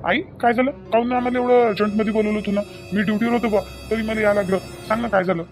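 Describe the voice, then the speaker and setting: A man's voice: sits high, steady tone, fast talker, soft background music. A man playing an easygoing grown son in a family drama, pleading repetitively in a Varhadi Marathi accent. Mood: puzzled. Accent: Varhadi Marathi of Vidarbha. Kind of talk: amateur family comedy-drama dialogue.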